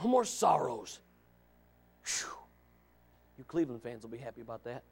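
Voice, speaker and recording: A man preaching a revival sermon, loud and shouting, with joking asides to the congregation. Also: medium pitch, big pitch swings, normal speed, creaky. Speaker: pastor.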